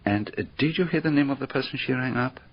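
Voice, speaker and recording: An actor playing a courteous, probing foreign detective in a radio drama, with formal foreign phrasing and deliberate questions. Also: male voice, mid-range voice, husky, close to an everyday voice. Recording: dull, muffled radio drama recording.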